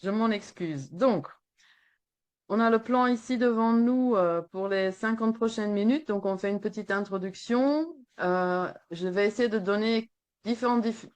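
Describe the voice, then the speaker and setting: A woman's voice: medium pitch, moderate pace. A woman with a Flemish accent, French not her first language, reading an earnest prepared presentation as a veterinarian in a webinar over a video call. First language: Flemish Dutch. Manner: earnest, reads steadily from a text.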